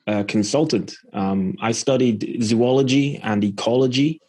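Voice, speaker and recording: A man's voice: medium pitch, unhurried, a little mellow. A man from Scotland talking as a podcast guest, explaining his experience in a plain-spoken, conversational way. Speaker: podcast guest, e-commerce entrepreneur. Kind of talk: conversational interview storytelling.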